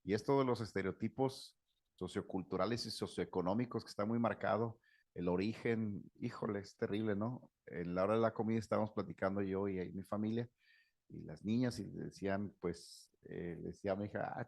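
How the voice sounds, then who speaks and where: A man's voice: lowish voice, brisk, gravelly, a little mellow. A middle-aged man, a psychotherapist, giving an informal talk to a group, chatty and anecdotal with frequent fillers and laughs. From Mexico. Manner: conversational, anecdotal, laughs often, many fillers.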